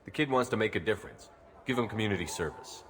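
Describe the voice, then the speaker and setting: A man playing a direct, even-tempered military commander in a video game, plain and businesslike as he speaks. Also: on the low side, quick pace. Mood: concerned, probing. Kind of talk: video game character acting.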